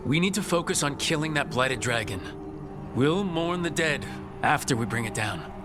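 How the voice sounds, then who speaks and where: Actor as a decisive fantasy team leader over music. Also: male voice, a little high, expressive, moderate pace, natural voice. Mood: determined. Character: decisive, gruffly caring male leader of adventurers. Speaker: video game protagonist actor. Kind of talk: dramatic fantasy game dialogue.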